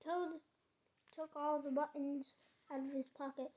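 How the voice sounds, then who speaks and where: A high-voiced child reads a storybook aloud at a slow pace. The reading is halting, with small stumbles. The recording is dull and lo-fi. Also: flat delivery.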